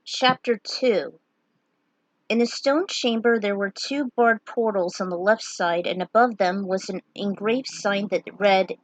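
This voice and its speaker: A woman narrating a story read-aloud in a deliberate way, with dramatic pauses. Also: medium pitch, no echo, low-quality recording. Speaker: narrator reading own fan fiction.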